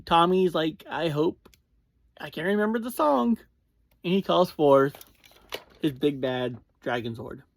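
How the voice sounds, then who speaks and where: A reviewer giving a casual spoken recap, speaking informally to listeners in short, halting phrases and often self-correcting. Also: mid-range voice, lively intonation, gravelly. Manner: informal, addresses listeners directly. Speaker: podcast reviewer.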